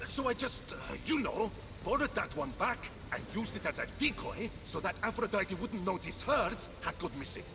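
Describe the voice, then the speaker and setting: 높고 요란한 억양의 허세 가득한 전쟁의 신. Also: male voice, sits high, animated, normal speed, hardly any pauses. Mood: sheepish, confiding. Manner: theatrical, blustering, flustered. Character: blustering, vain, touchy war god, secretly sheepish. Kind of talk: video game cutscene voice acting. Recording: music behind the voice.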